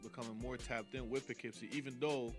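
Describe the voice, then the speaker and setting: A man in his thirties from Jamaica, a guest on a radio show, talking in an easygoing, relaxed way. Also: mid-range voice, brisk, over music. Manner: easygoing, relaxed, says "you know what I'm saying" often. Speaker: guest rapper and videographer. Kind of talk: casual interview.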